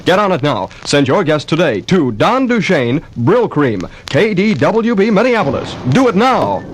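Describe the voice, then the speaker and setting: A male radio announcer reading a contest commercial as a brisk, urging sales pitch. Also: sing-song, breathy edge. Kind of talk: read radio advertisement. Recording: noisy old radio aircheck.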